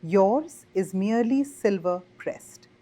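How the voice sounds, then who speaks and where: A woman playing an unflappable magical bureaucrat in an audio story, crisp, professional and politely obstructive. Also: mid-range voice, lively intonation, takes it slowly, warm tone, a little hiss or hum. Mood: officious, unruffled. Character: unflappable, officious female magical bureaucrat clerk. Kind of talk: scripted character dialogue in audio story.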